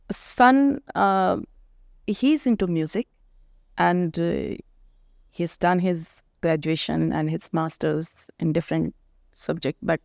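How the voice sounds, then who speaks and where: A middle-aged woman from India with an Indian accent and Hindi as her first language, talking as a poet and author guest in a thoughtful interview, pausing often to find her words. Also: deep voice, vocal fry, darkish tone, no echo, clean studio sound. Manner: thoughtful, searching for words with frequent pauses. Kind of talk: reflective conversational interview.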